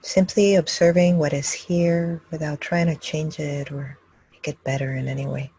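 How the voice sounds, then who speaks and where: Female meditation guide, calm and level in tone, slightly breathy rasp, close dry sound. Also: low-pitched, dry recording. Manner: calm, unhurried, with long pauses between phrases. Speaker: meditation teacher leading a live group session. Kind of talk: guided meditation, slow and gentle instruction.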